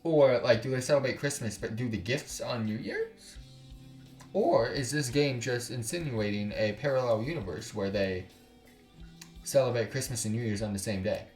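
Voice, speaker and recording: A man's voice: medium pitch, normal speed, darkish tone. A man reading a visual novel aloud as a gaming YouTuber, breaking off for slangy, sweary, incredulous asides. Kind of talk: visual novel read-aloud with casual commentary. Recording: roomy echo, distant mic, music behind. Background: music.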